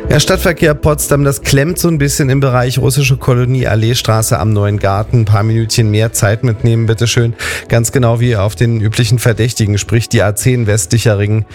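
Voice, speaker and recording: A man's voice: mid-range voice, fairly even intonation, a little fast, a little mellow, faint music behind. A man hosting a regional morning radio show, cheerfully reading weather and traffic in a chatty way. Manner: chatty, cheerful, conversational fillers.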